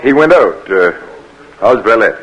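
A man's voice: on the low side, animated, measured pace, a bit bright, low-quality recording. An actor playing a grave, solemn middle-aged Southern man in a 1940s radio drama, speaking hesitantly.

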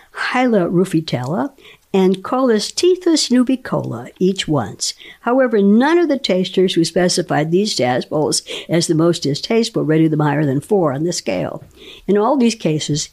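A woman doing a scripted reading of a scientific paper in a formal, deliberate way. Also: fairly low pitch, a little mellow. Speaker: dramatic reader of research papers.

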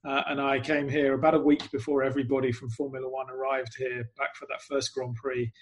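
A man's voice: medium pitch, level tone, brisk, low-quality recording. An English man from the UK, chatting casually as an interview guest, self-deprecating and full of "um"s. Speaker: guest, club PR executive.

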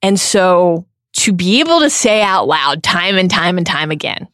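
A woman in her thirties co-hosting a podcast, speaking earnestly and with emphatic, drawn-out stress. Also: lowish voice, a bit bright, dry recording, clean studio sound. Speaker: podcast co-host. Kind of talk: heartfelt podcast chat.

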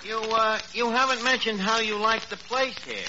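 An actor playing a brash, bumbling New York tavern manager in a 1940s radio comedy, full of boasts and mangled fancy words. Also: male voice, high voice, fairly even intonation, moderate pace, natural voice. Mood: boastful. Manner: wisecracking, bumbling malapropisms. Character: brash, boastful Brooklyn tavern manager wooing an old flame. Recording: noisy, muffled old radio broadcast.

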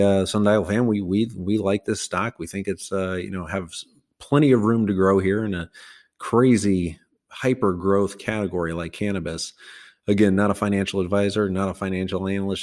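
Deep-voiced man rambling about stocks. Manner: loose and rambling, many fillers and restarts. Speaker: podcast host. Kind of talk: casual stock commentary.

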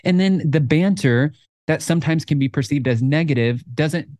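A man in his twenties co-hosting a podcast in casual chat, talking in an animated way and jumping higher when he quotes himself. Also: moderate pace, no echo, clean studio sound. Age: 20s. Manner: animated, jumps up in pitch when quoting himself. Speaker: podcast co-host.

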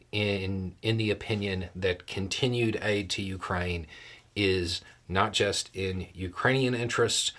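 Low-pitched man, folksy news commentator. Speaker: host of a political commentary show. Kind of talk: casual explanatory news commentary.

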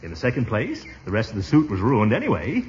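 Blustery pompous uncle, old radio comedy, very animated, dull noisy recording. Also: male voice, lowish voice, quick pace. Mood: self-satisfied. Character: pompous, sentimental, blustering middle-aged uncle. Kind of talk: 1940s radio comedy acting.

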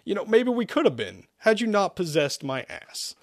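A man's voice: high-pitched, very animated, moderate pace, no echo, studio quality, pitched above the performer's own voice. A man voicing an exasperated, casual young man in a comic story, with a whiny, slangy delivery. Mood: calm, regretful.

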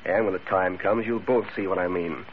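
A man's voice: sits low, fairly even intonation, brisk, natural voice. Man playing a bossy gangster on tinny old radio. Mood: cocky, commanding. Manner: commanding, brusque. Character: bossy, scheming gangster leader posing as a cop. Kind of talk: 1940s radio acting. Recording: muffled, noisy vintage radio recording.

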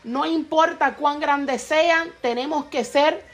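A woman with a very high voice preaches with fervor. She keeps breaking off to praise, slips into tongues, and climbs higher whenever she presses a point. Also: slow pace. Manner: fervent, peppers speech with "vive Dios, aleluya" and tongues; rises in pitch when stressing points. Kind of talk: Pentecostal-style sermon.

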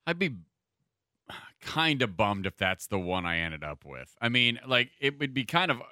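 A man co-hosting a podcast in relaxed chat, blunt and easygoing, cursing casually. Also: medium pitch, moderate pace, dry recording, clean studio sound. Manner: laid-back, blunt, swears casually. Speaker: podcast co-host.

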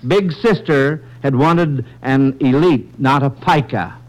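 A man's voice: medium pitch, slow pace, a little mellow, rough recording. An American man hosting a 1940s radio quiz, calling on child contestants by name with folksy asides. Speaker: quiz show host. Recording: vintage 1940s radio broadcast.

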